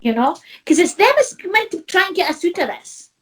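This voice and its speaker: A woman's voice: very high voice, big pitch swings, fairly quick. A middle-aged woman, talking in a casual chat, chatty and laughing often, repeating herself. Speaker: co-host.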